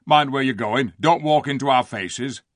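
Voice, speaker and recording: A man voicing a surly, suspicious dwarf in a storybook reading, gruff and blustering as he grumbles in colloquial speech. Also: medium pitch, normal speed, no echo, voice pushed up, lightly acted. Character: surly, defiant, suspicious dwarf, scornful and rude.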